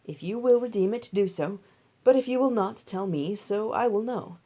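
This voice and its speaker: A woman's voice: medium pitch, moderate pace, warm tone, close to an everyday voice. A woman voicing an earnest, decisive male landowner in a Bible storybook, speaking formally and declaratively. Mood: businesslike.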